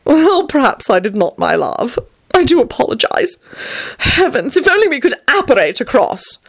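A woman's voice: sits high, very animated, normal speed, creaky, voice pushed up, heavily acted. A woman voicing a flamboyant, theatrical male vampire who shrieks and speaks in grand, old-fashioned phrases. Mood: fussy.

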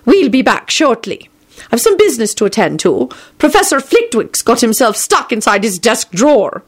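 A woman's voice: a little high, very animated, normal speed, slightly rough, voice pushed up. A woman voicing a stern, irritated headmistress with a commanding, disapproving manner.

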